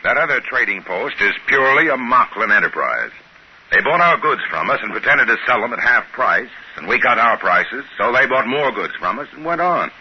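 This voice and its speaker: An American actor playing a smooth-talking, decisive trading-post boss in a 1950s radio drama. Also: male voice, medium pitch, sing-song, normal speed, lo-fi sound. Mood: serious, urgent. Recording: hissy band-limited 1950s radio recording.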